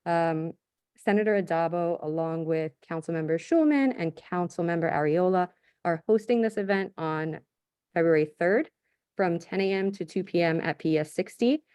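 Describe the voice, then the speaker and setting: A woman reports to a council over a video call in an upbeat, enthusiastic way. Her voice sits low and sounds mellow, and the audio is close and dry. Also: moderate pace, clean tone, dry recording. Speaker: school liaison. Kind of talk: meeting report.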